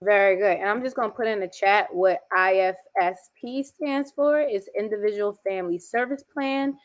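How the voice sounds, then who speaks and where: A woman hosting a conversational interview, her voice a little raspy and partly lost from shouting, speaking informally and correcting herself. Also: mid-range voice, moderate pace. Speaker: host, school educator and coach.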